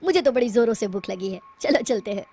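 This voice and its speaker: A woman voicing a worried male scientist with a lowered, earnest tone in a cartoon story. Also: expressive, quick pace, dark tone, slightly rough.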